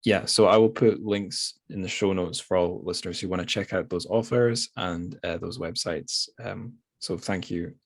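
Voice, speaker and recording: Low-pitched man hosting a casual podcast. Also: fairly even intonation, normal speed, vocal fry, hardly any pauses, no room sound. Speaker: podcast host. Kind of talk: casual literary interview.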